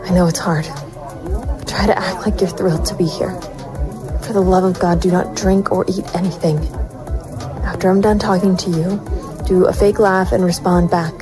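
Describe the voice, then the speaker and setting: A woman acting a hard-boiled female private eye, low-voiced and brooding. She narrates a noir radio play in clipped, sardonic lines, weary and resolute, with music underneath. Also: fairly even intonation, normal speed, pauses often, natural voice. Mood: firm, hushed. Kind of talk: noir audio drama narration. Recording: music bed behind the voice.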